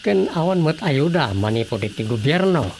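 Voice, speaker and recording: A man acting an indignant, grumbling villager in an Ilocano-accented radio drama comedy, with a creaky voice and emphatic delivery. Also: fairly high pitch, sing-song, normal speed, darkish tone, a little hiss or hum. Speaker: voice actor.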